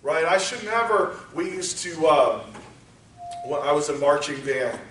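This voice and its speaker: A man preaching a sermon, moving from quiet teaching up to shouted exhortation. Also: fairly high pitch, lively intonation, unhurried, slight background noise. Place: church sanctuary. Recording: echoey church hall, voice from far off.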